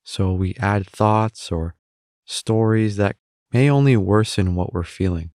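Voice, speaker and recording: A man leading a guided meditation as the guide, speaking in a calm, gentle, instructing manner with pauses. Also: sits low, creaky, no room sound, studio quality.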